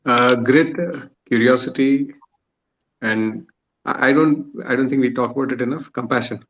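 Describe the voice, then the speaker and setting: A man answering as a podcast guest in short, reflective phrases, deliberate and repeating words for emphasis. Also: mid-range voice, fairly bright tone, highs cut off.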